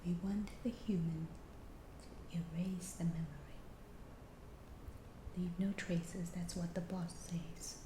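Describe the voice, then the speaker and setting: Softly whispering, slow-talking alien abductor played by a woman. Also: lowish voice, steady tone, noisy background. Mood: quiet, confiding. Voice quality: soft, breathy whisper. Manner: slow, halting, reassuring. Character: calm, reassuring alien abductor examining a captive human. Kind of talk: ASMR roleplay.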